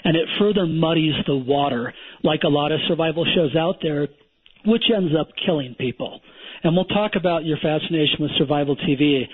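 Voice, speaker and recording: Blunt husky man on a phone line. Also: on the high side, brisk. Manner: emphatic, lecturing, blunt. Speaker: survival instructor, guest. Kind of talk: phone-in radio interview.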